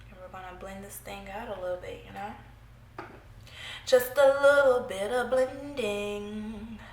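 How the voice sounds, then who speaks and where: A woman's voice: medium pitch, gravelly, roomy sound, slight background noise. A young woman with a stuffy, congested voice, chatting playfully to her viewers while giving a makeup tutorial at home. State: congested from a cold. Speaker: beauty vlogger. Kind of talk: casual makeup tutorial chat. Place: small room at home, indoors.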